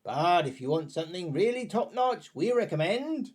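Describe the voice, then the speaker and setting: A man with a fairly high, very expressive voice narrates a scripted review. He sounds chatty and wry, dropping playful asides as he presents. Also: normal speed, noisy background.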